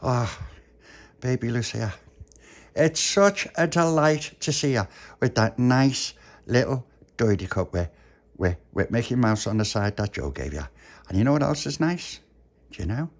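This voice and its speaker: A man's voice: mid-range voice, expressive, unhurried, pauses often, pitched above the performer's own voice. A man voicing an affectionate, bossy little puppy in a children's story, gushing and repeating words eagerly.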